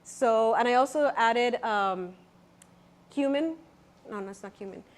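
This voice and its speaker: High-pitched, halting woman. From El Salvador. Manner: halting, nervous, searching for words. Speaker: artist presenting own exhibition. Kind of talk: informal gallery talk, explaining artworks to visitors.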